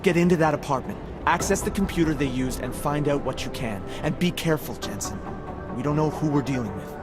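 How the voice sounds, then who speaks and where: An actor playing an anxious, sardonic covert agency colleague in a video game cutscene, nervous and wry. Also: male voice, a little high, a little fast, music in the background, natural voice. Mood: urgent, fretful.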